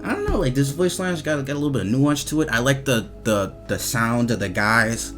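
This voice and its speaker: A man giving casual, off-the-cuff commentary as he reviews game voice lines, talking in a halting, repetitive, slangy way with some swearing. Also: medium pitch, sing-song, a bit bright, soft background music.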